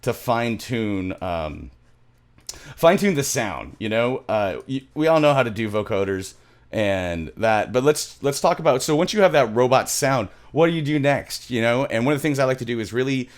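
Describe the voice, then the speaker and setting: A man's voice: mid-range voice, animated, moderate pace. A middle-aged man giving a casual music production tutorial, rambling and thinking aloud as he works, recorded close on a mic in a small home studio.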